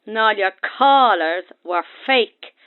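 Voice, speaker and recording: Phone-line probing Irish caller. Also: female voice, mid-range voice, animated, slow, clean tone. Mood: accusing. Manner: pointed, insistent. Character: probing, accusing female radio phone-in caller. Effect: phone line filter. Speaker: caller to a radio show. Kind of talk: naturalistic audio drama acting.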